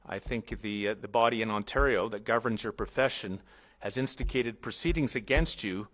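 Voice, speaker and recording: A man's voice: lowish voice, fairly bright tone. A man moderating a hearing, questioning a witness in a formal but conversational way and often rephrasing as he goes. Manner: halting, rephrases as they go.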